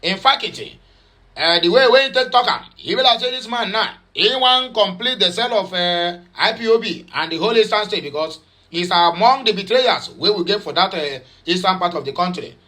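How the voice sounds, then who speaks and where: A man with a Nigerian Pidgin accent talks through the news in a chatty, mocking way. His voice sits high and sounds bright and sharp. He rambles, repeats himself and drops in Igbo phrases. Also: animated, normal speed. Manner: rambling with many fillers and repeats. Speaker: news commentator. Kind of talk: casual news commentary.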